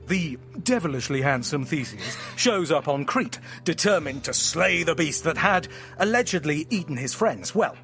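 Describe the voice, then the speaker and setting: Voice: mid-range voice, animated, moderate pace, a bit bright. Playful, grandiose myth storyteller over music. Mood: theatrical, melodramatic. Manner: grandiose, teasing, melodramatic narration. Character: playful, theatrical storyteller friend spinning a myth at home. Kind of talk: audio drama acting, storytelling.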